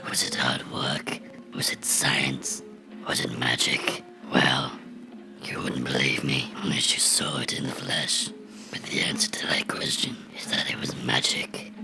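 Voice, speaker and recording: A man playing a vengeful cartoon gnome come to life, menacing and mocking in a breathy, gravelly whisper for a horror ASMR role-play. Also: very deep voice, full character voice. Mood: ominous storytelling. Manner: slow, deliberate, sinisterly playful, taunting.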